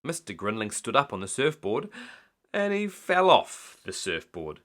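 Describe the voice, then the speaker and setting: Man, storyteller, expressive children's reading, unhurried pace, lively intonation. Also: mid-range voice.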